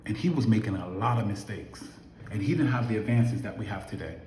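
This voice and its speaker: A man's voice: lowish voice, lively intonation, a little fast, a little mellow, a little hiss or hum. Emphatic man in his fifties, echoey room. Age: 50s. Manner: emphatic, preachy, rhetorical questions. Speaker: affiliate marketer vlogger. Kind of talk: motivational talk to camera.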